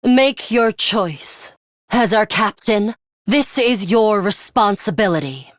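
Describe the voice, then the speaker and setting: Game role: stern, aloof young female fighter, cool and commanding, very measured pace, close dry sound. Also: medium pitch, expressive, no room sound. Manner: curt, cool, deliberate, commanding. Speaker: voice actress. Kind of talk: scripted video game character lines.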